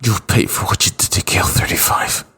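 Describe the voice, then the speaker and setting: A man's voice: fairly quick, sharp tone, lightly acted. A man voicing a determined, defiant young space ranger hero with dramatic delivery in a sci-fi story. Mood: vengeful.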